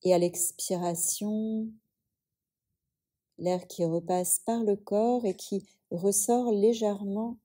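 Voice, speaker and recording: A woman yoga teacher leading a guided relaxation in a soothing, unhurried way, leaving long pauses between phrases. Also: medium pitch, warm tone.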